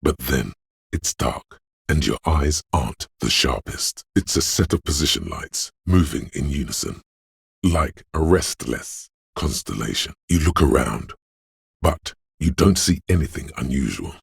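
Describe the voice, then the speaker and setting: A man voicing the inner sense of perception in a video game, narrating what the hero notices in a measured, observant, second-person way. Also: a bass voice, lively intonation, vocal fry, slightly rough, dry recording, full character voice. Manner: measured, observant, softly descriptive delivery. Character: the hero's inner sense of perception, male, watchful and calm. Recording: dry close studio recording.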